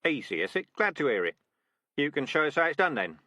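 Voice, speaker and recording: A man doing Dark Tan, a cocky veteran rat. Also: fairly high pitch, big pitch swings, a little fast, no echo, pitched above the performer's own voice. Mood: teasing. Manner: dry, sardonic, teasing. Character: cocky veteran male rat trap expert.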